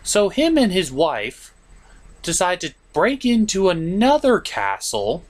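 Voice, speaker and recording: Guy doing a funny movie review, sarcastic n exasperated, pitch all over the place. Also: huge pitch swings, takes it slowly. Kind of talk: comedic film review narration.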